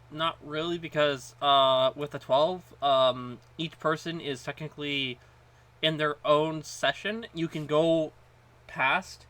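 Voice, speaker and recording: Guy podcast co-host, kinda high bright voice, stop-and-start casual gaming chat.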